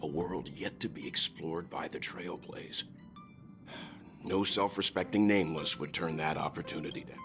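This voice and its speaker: A composed, middle-aged gentleman adventurer from a game, speaks in a low, dignified voice. He is calm and measured, with music clearly behind him. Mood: calm, quietly excited. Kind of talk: scripted game dialogue.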